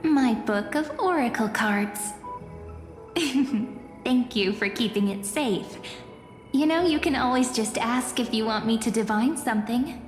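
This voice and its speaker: An actress playing a gentle, serene young oracle card reader in a video game, poetic and deliberate. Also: female voice, on the high side, natural voice. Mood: warm, earnest. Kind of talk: scripted game voice acting. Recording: echoing hall reverb, faint music.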